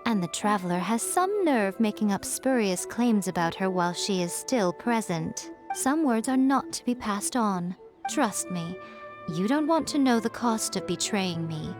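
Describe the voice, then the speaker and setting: A woman's voice: mid-range voice, animated, measured pace, natural voice. A woman voicing a sly teasing shrine priestess. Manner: playful, teasing banter. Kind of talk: video game dialogue. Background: soft game music.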